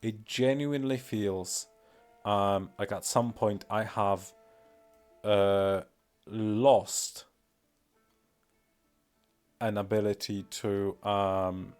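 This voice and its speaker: A man's voice: lowish voice, fairly even intonation, very slow, long pauses, a little mellow, clear, resonant tone, soft background music. A man with a Polish accent, a native Polish speaker, streaming casually and rambling with many fillers and self-deprecating remarks. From Poland. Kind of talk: casual live-stream commentary.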